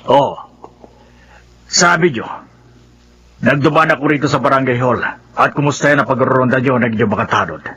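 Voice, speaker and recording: A man's voice: medium pitch, normal speed, long pauses, breathy edge. A man playing a stern, resentful middle-aged father in a radio drama, confrontational in manner. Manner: forceful, confrontational.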